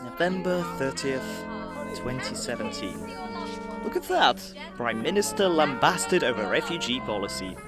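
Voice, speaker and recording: A woman's voice: very deep voice, big pitch swings, measured pace, over loud music, exaggerated voice. A woman playing an earnest, wary and indignant companion in a science-fiction audio drama. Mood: bewildered.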